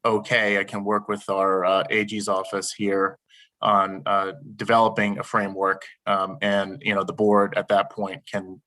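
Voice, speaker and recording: A middle-aged man presenting a report at a board meeting over a video call, slightly raspy from a summer cold, hesitant with many ums. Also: sits low, pushed and bright, poor audio. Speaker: government affairs director.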